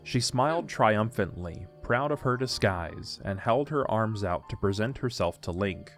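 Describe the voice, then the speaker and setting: A man's voice: on the low side, lively intonation, unhurried. A man reading scripted narration for an audio drama story, with music behind him.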